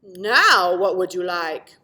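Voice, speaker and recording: A woman's voice: fairly low pitch, expressive, takes it slowly, pushed and bright, full character voice, rough recording. A woman voicing an obliging, knowing magical shapeshifter from a children's storybook, emphatic and matter-of-fact.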